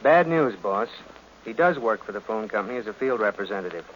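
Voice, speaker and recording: A man's voice: on the low side, animated, a little fast, natural voice. A man playing a cocky, irreverent detective's assistant in a 1940s radio mystery, with a wry, slangy delivery. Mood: breezy, sardonic. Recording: muffled, noisy old radio recording.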